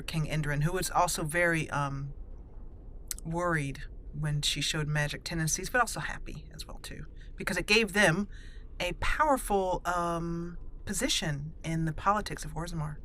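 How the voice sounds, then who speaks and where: A woman's voice: low voice, moderate pace, a bit bright, a little hiss or hum. A woman hosting a roleplay video game series, chatting casually with frequent fillers and self-corrections. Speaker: let's play host.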